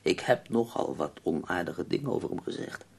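A man acting in a Dutch radio play as an ailing, nearly blind poet, husky and gravelly, formal, tender and wry. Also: deep voice, lively intonation, normal speed, exaggerated voice. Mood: wry, reflective.

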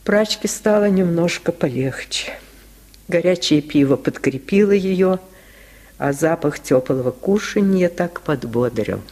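A male storyteller reads classic literature for radio. His voice sits fairly high for a man, and he takes his time, measured and expressive, shaping the tale dramatically.

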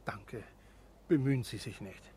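Slow, very husky, withdrawn traveller. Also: male voice, mid-range voice, warm tone. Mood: withdrawn, muted. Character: withdrawn, despondent male traveller stranded at night. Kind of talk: 1970s German radio drama acting.

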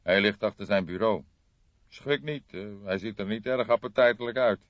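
A man voicing an old male police detective with a cool, dry, measured manner in an audiobook. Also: fairly low pitch, no room sound, lo-fi sound, close to an everyday voice. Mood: calm, concerned.

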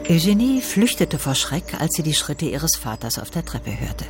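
Higher-pitched male radio drama narrator. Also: sing-song, moderate pace, noisy background.

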